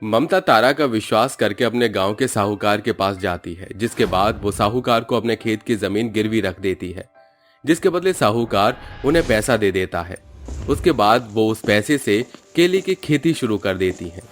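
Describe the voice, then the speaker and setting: A man's voice: fairly low pitch, lively intonation, moderate pace, faint music behind. A man giving scripted narration of a moral story as its storyteller.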